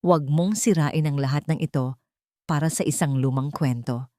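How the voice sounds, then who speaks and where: Lady doing old rich patriarch, menacing and condescending, measured, mixes in english, storybook drama. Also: low-pitched, a little mellow, no echo, clean studio sound, close to an everyday voice. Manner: patronizing, measured, code-switching into English.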